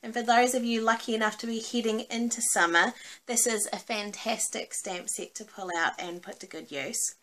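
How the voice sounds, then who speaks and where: A woman's voice: fairly high pitch, steady tone, normal speed, fairly bright tone, poor audio. A woman with a New Zealand accent giving a casual, cheerful craft demonstration in an informal, chatty way. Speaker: craft tutorial host.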